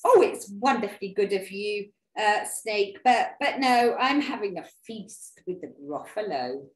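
Woman acting a small boastful storybook mouse, exclamatory, very lively pitch, roomy echo. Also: measured pace, natural voice. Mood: polite, bold. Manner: exclamatory, animated. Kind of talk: children's storybook reading.

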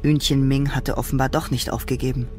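An actor narrating a science fiction radio drama in a scripted style. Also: male voice, medium pitch, flat delivery, brisk, close to an everyday voice. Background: music.

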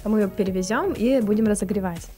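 A woman's voice: medium pitch, fast talker, warm tone, soft background music. A young woman in her twenties, a guest in a studio interview, talking cheerfully and laughing often. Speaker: guest, tourism project author. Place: radio studio. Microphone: studio mic on stand.

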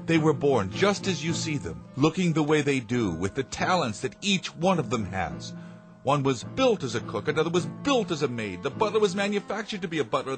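A man's voice: fairly high pitch, fairly bright tone. An actor playing a proud, earnest inventor father in a radio drama, speaking with emphatic, theatrical deliberation.

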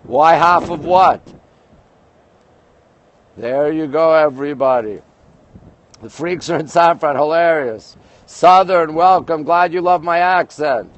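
A man from Montreal, Canada hosting a casual livestream from a high-rise balcony at night, greeting viewers by place and reading comments aloud. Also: slow pace, pauses often, highs cut off. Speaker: livestream host. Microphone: phone camera held by speaker.

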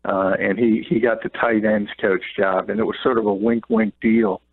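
A man giving sports analysis as a columnist guest, talking quickly with short laughs. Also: lowish voice, big pitch swings, gravelly, no room sound. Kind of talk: sports radio analysis by phone. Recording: tinny phone line.

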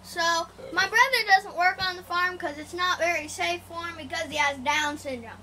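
A woman's voice: very high voice, normal speed, sharp tone, soft background music. A teenage girl giving a casual walking tour of her family farm outdoors, talking plainly and tying her points together with lots of "so". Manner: chatty, plain, many "so" fillers.

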